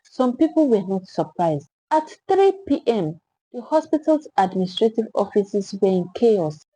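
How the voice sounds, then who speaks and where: A woman's voice: medium pitch, sing-song, moderate pace, dark tone, slightly rough, no echo, poor audio. A woman with a Nigerian accent narrating a scripted story in a solemn, theatrical, dramatic way.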